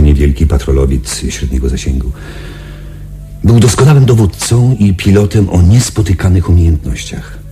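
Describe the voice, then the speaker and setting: An actor playing a shaken young space patrol pilot, confiding his story in a halting, dramatic radio-play delivery. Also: sits low, dark tone.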